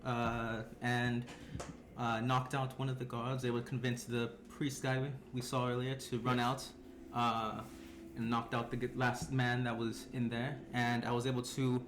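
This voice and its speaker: A man's voice: mid-range voice, completely flat delivery, normal speed. A man chatting and joking casually as a player in a tabletop game. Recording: noisy room ambience.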